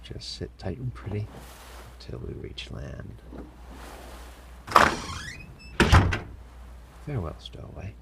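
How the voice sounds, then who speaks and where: A man playing a sly, commanding pirate captain in an audio roleplay, smugly amused and theatrical, with deliberate, formal phrasing, teasing pauses and a gravelly voice. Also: low-pitched, animated, heavy background noise. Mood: smug farewell. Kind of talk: scripted immersive audio roleplay addressed to listener.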